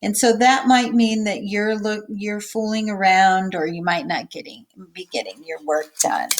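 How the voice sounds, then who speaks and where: Female teacher, medium pitch, read-aloud for kids mixed with casual, simple explanations and small check-ins with the listeners. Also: moderate pace. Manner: explains simply, checking in with okay and all right. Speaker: teacher reading a picture book aloud.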